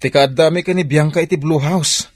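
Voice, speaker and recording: A troubled, downcast husband in a radio drama. His medium-pitched voice is glum and weighed down, recorded close and dry. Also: steady tone, brisk, husky, no echo. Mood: dejected. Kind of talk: Ilocano radio drama acting.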